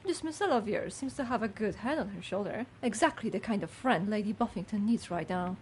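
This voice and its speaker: A woman's voice: medium pitch, animated, a little fast, a little hiss or hum, close to an everyday voice. A woman playing a gossipy, judgmental older housekeeper in a video game, with a fussy, haughty manner. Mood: haughty, inquisitive. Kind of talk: scripted game character dialogue.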